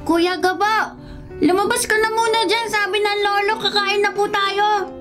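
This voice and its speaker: A woman's voice: extremely high pitch, flat delivery, moderate pace, over loud music. A woman playing a polite, obedient little boy in a radio drama, with a Filipino accent and respectful manner. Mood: polite, urging.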